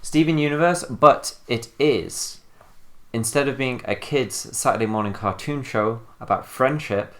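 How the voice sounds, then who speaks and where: A woman's voice: a bass voice, measured pace. A woman co-hosting a casual, comedic podcast, informal and sweary, often repeating words as she gets going. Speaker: podcast co-host.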